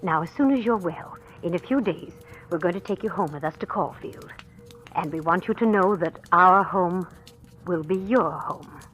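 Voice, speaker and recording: A kindly middle-aged mother played by a woman from a vintage radio drama. Her voice sits fairly low and she speaks with tender, genteel insistence. The sound is an old broadcast with faint music. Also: moderate pace, a bit bright, close to an everyday voice, poor audio. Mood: tender, earnest. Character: kindly, gently insistent mother welcoming a bereaved daughter-in-law. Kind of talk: 1940s radio drama acting.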